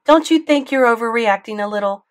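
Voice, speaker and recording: A woman reading aloud, voicing an indignant, furious mother who talks in a shrill, strident voice. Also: animated, brisk.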